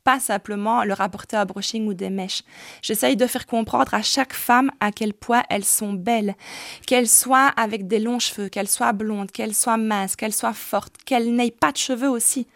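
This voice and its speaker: A middle-aged woman, a hairdresser and salon director, giving earnest, explanatory answers in a radio interview, pausing often with fillers. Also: on the high side, fairly even intonation, a bit bright, dry recording, studio quality.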